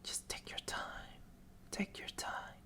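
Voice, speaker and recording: A man voicing an eerie, patient sleep paralysis demon in an ASMR roleplay, half-whispering slowly and intimately into a close microphone with coaxing, theatrical phrasing. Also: pushed and bright. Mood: soothing, patient. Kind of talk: ASMR roleplay, slow storytelling. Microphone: close binaural microphone.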